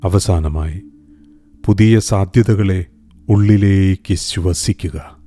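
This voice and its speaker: A man guiding a scripted meditation in a calm, soothing, gentle manner, pausing deliberately between phrases. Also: low voice. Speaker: meditation guide. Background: music.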